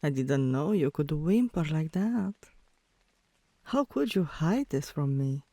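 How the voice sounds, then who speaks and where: A woman voicing a teasing, softly dominant tomboy girlfriend in an intimate ASMR roleplay, with a low voice that turns breathy at times and a coaxing, commanding manner. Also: lively intonation, slow, no echo, exaggerated voice. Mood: fond, teasing.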